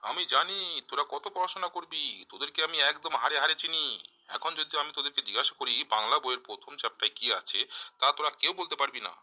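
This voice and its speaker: Man acting a sharp, scolding schoolteacher. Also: a little high, brisk. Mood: stern. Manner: scolding, mocking. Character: stern, sarcastic, exasperated male village schoolteacher. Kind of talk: comic cartoon voice acting.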